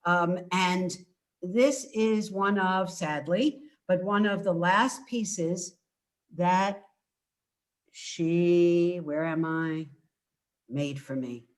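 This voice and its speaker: Older woman speaking slowly, halting and emphatic. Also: fairly low pitch. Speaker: author presenting her book. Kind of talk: informal book talk on a video call. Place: indoors at home. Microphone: video call.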